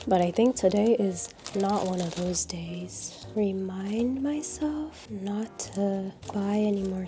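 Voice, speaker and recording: A woman with a slightly scratchy voice from a cold, chatting casually and unhurriedly in a low-energy voice-over about her craft supplies. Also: dark tone. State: a little sick, scratchy voice. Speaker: art vlogger.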